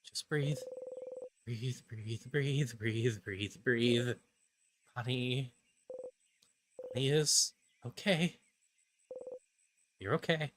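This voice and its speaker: A man's voice: medium pitch, very slow, long pauses, background noise. A man playing a panicked young traveler trapped in time loops, pleading and repeating words obsessively in a dramatic video game voice-over. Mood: anxious self-soothing.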